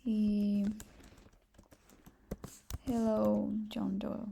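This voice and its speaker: Slow, creaky Brazilian woman explaining softly. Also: medium pitch, level tone, slight background noise. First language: Portuguese. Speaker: programming tutorial host. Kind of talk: casual step-by-step screencast tutorial.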